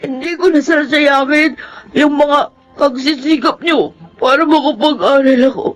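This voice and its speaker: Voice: sits high, a little slow. An actor playing a troubled, remorseful adopted schoolboy in a Filipino radio drama, emotional and prone to stammering. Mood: tearful, remorseful. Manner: emotional, stammering when confronted.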